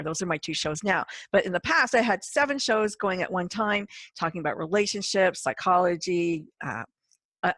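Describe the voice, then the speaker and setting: A woman's voice: fairly low pitch, fairly bright tone, no room sound. A woman who founded a radio network, talking about her shows in an enthusiastic, chatty way and laughing often. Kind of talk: casual conversation.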